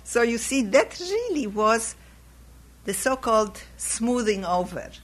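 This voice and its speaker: A woman's voice: a little high, slow. An older woman from Poland, recalling her youth in an oral history interview, her voice scratchy from a cold. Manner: reflective, animated when recalling youth.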